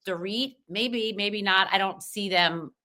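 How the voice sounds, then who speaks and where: A woman's voice: medium pitch, unhurried, crowd noise. A middle-aged American woman chatting casually as a podcast guest, full of fillers and restarts. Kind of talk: casual chat.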